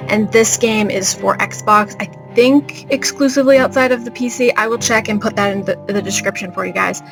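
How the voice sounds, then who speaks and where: A woman's voice: mid-range voice, fairly quick, gravelly. A woman hosting a let's play, chatting informally and in a rambling way straight to viewers. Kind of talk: casual, chatty gameplay commentary. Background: game music.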